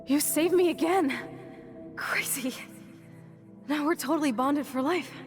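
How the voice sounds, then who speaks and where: A woman's voice: high-pitched, unhurried. An American woman playing a brash, rebellious, loyal teenage girl in a video game, slangy and cocky. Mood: playful, teasing. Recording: music behind the voice. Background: game soundtrack music.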